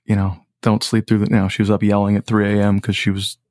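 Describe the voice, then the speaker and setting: A man with a low voice, a musician guest talking in a laid-back, rambling way with many fillers. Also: monotone, quick pace, darkish tone, no room sound, studio quality. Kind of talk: casual podcast chat.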